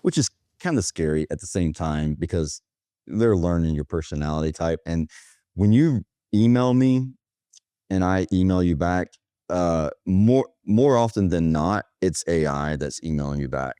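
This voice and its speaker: Low, mellow-voiced man chatting. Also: expressive, normal speed, clear, resonant tone, no echo. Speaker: podcast guest, automation agency owner. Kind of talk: casual conversational interview talk.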